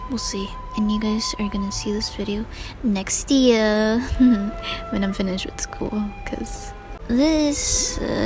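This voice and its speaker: Unhurried young woman reacting to a game. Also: mid-range voice, low-quality recording. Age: young adult. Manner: slangy, giggly. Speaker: game streamer. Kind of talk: casual reaction commentary over gameplay. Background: game music.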